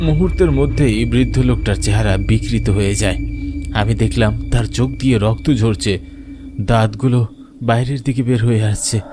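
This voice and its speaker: A man's voice: mid-range voice, lightly acted. A man playing a frightened villager who narrates his own ghost encounter in a dramatic, suspenseful horror-cartoon style. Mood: fearful, suspenseful. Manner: suspenseful, deliberate. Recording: prominent music behind narration.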